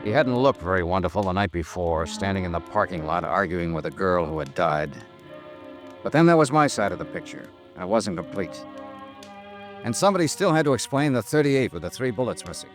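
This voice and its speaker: A man playing a tough, world-weary insurance investigator in a 1950s radio drama, clipped and deadpan. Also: low voice, a little fast, soft background music. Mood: wry, measured. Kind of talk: 1950s radio detective drama acting and narration.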